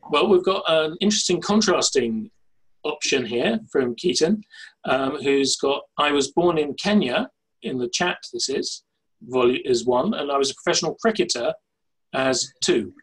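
A middle-aged man hosting an online workshop from a home study, brisk and dry as he guides the group. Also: a little high, expressive, husky, low-quality recording. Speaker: workshop host. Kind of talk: facilitating an online session. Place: home study lined with bookshelves. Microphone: laptop webcam on video call.

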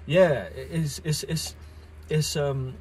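A man, chatting casually as host, animated and full of restarts. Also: on the high side, slow pace, slight background noise, rough recording. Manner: animated, repeats words and restarts, London slang. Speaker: content creator host. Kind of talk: casual chat. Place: inside a parked car at night. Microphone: phone camera on the dashboard.

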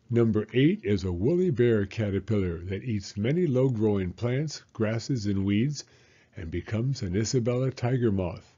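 Older guy in his 60s doing kids storytime, husky and mellow, slightly muffled sound. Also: medium pitch. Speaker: story reader and host, elementary school teacher. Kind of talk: children's picture-book read-aloud.

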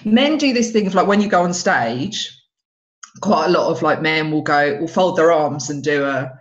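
A woman's voice: low-pitched, normal speed. A woman in her thirties with an Essex accent, a stand-up comedian chatting on a video call and acting out other people's lines as she tells stories. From England. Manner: animated storyteller who acts out other people's lines. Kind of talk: casual interview chat. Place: home room, video call. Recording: dull lo-fi video call audio.

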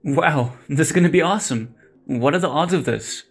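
A man's voice: a little high, moderate pace, slightly rough, faint music behind, voice pushed up. Man acting an earnest young college guy in a radio play. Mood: excited. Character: earnest college-age son, devoted and anxious. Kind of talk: amateur audio drama acting.

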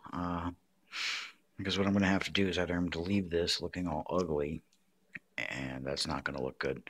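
A man's voice: sits low, a bit bright. A man giving a casual hands-on craft tutorial, explaining step by step in plain words.

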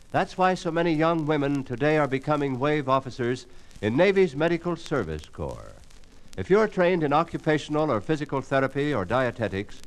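High-pitched, animated 1950s American radio announcer man. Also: low-quality recording. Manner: formal, declamatory. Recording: 1950s transcription disc radio broadcast. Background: orchestra music.

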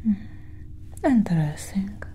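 A young woman whispering breathily and deliberately in a soft ASMR roleplay as a calm alien scientist, close to the mic. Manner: slow, deliberate, hushed. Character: calm, clinical female alien scientist examining a human. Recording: steady low engine-like hum.

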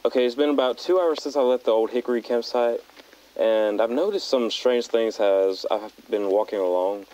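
A young man playing an uneasy teenage backpacker recording an audio diary in a measured, hesitant, understated way. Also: medium pitch, dark tone, lightly acted. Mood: puzzled, uneasy. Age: young adult. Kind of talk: found-footage audio log narration. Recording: dull lo-fi handheld tape recorder.

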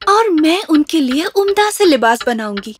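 A woman's voice: sits high, very animated, no room sound, soft background music. A woman voicing a caring, grateful, sensible shoemaker's wife in a children's cartoon. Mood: caring, eager.

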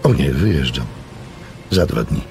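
An actor playing an observant, sceptical gentleman who narrates a radio mystery in a reflective storytelling manner. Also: extremely low pitch, sing-song, a little slow, gravelly, music in the background, full character voice. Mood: curious.

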